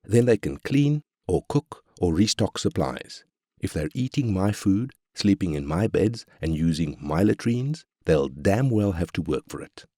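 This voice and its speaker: Very deep-voiced, ruthless tycoon. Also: male voice, sing-song, measured pace, dark tone, no room sound, clean studio sound, heavily acted. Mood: irritated, callous. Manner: brash, cynical, commanding. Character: ruthless, arrogant South African corporate tycoon. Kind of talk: scripted game character quotes.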